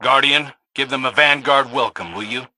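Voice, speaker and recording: An actor playing a confident, loyal military commander in a video game, direct and plainspoken. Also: male voice, medium pitch, normal speed, background noise, close to an everyday voice. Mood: commanding. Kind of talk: scripted video game voice acting.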